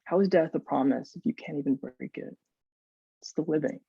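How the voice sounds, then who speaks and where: A man's voice: fairly high pitch, fairly quick, creaky, mellow, breathy edge, dry recording, lo-fi sound. A young man reading his poetry aloud, with the sound of a video call. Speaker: poet.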